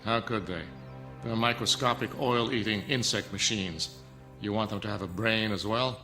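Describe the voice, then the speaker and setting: An actor playing a stern, arrogant scientist in a film drama, lecturing curtly and defensively. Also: male voice, lowish voice, moderate pace, pushed and bright, faint music behind. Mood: firm, explaining. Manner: haughty, lecturing, curt. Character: stern arrogant male scientist, defensive, guarding secret nanotech.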